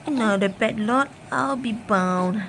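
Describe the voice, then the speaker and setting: A woman's voice: on the high side, measured pace, a bit bright, a little hiss or hum. A woman voicing a disapproving old grandmother in a children's storybook, grumbling with scorn. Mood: scornful. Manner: scornful, muttering.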